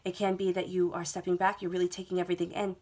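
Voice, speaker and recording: A woman with a stuffy head cold reads tarot cards laid out on a table at home. Her voice sits a bit low, and she talks in a soothing, reassuring way, repeating herself and often checking in with "okay?". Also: flat delivery, rapid-fire, a little mellow. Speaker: tarot reader. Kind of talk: monthly tarot reading to camera.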